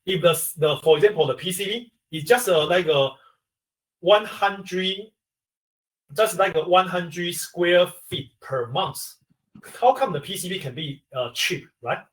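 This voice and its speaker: A man with a Taiwanese accent answers a webinar question, explaining step by step in halting English. His voice sits on the higher side. He sounds set back from the microphone, as on a video call. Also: far from the mic, poor audio. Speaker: industry expert guest.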